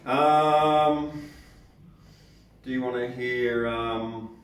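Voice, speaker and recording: An Australian man with a croaky, dry throat, chatting hesitantly on stage at an open mic between songs, repeating words as he decides what to play. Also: medium pitch, fairly even intonation, extremely slow. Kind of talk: casual banter between songs. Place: indoor venue hall. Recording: echoey hall from far off.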